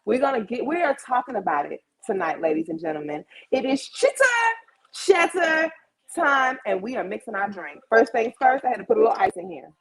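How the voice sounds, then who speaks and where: A woman's voice: a little high, sing-song, a little fast, a crowd in the background. A woman of about thirty hosting a casual livestream, chatting informally and slangily straight to her audience. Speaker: host of a live chat show.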